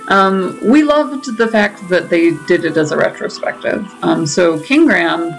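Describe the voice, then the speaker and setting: A woman's voice: on the low side, animated, unhurried, creaky, low-quality recording. A woman hosting a gaming channel, giving chatty, explanatory commentary as she plays. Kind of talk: casual game commentary. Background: faint game music.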